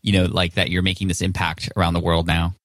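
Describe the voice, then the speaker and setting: A man's voice: deep voice, fast talker, no echo, studio quality. A man in his thirties hosting a podcast, upbeat and enthusiastic. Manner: enthusiastic, excitable. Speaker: podcast host.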